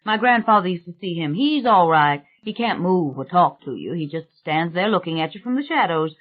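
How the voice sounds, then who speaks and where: A woman's voice: fairly low pitch, expressive, normal speed, lo-fi sound. A woman voicing an unbothered, plainspoken male gardener in an audiobook, folksy and reassuring.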